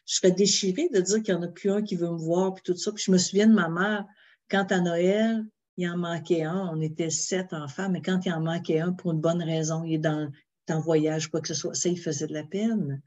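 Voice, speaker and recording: A woman's voice: lowish voice, steady tone, normal speed. A woman host with a Québécois accent, interviewing a guest in a chatty way with frequent fillers. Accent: Québécois French. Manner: warm-hearted, chatty, many fillers. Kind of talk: interview chat.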